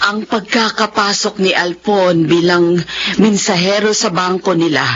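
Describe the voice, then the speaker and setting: A woman's voice: on the low side, fairly even intonation, measured pace, close to an everyday voice. An actress playing a humble, grateful older mother in a radio drama, speaking in a homely, earnest way. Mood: gentle. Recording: muffled radio drama recording.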